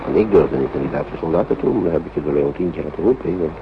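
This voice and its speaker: A man's voice: rumbling low, lively intonation, fast. A man playing a worried, kindly English uncle shepherding young children in a radio drama, hesitant and repeating himself as he fusses. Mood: gently urging. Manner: hesitant, repetitive, fussing gently over children. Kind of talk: naturalistic radio drama acting. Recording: dull, noisy old radio play recording.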